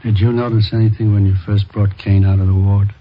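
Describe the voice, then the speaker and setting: Actor as husky, low, discreet police informant. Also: male voice, level tone, a little fast, lightly acted. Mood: confidential. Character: discreet male subordinate reporting to a sergeant. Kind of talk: 1950s radio police drama acting. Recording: dull old radio recording.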